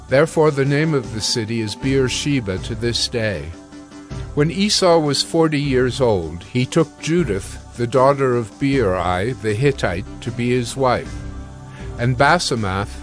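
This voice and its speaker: Mid-pitched man narrating scripture over music. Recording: music under the voice.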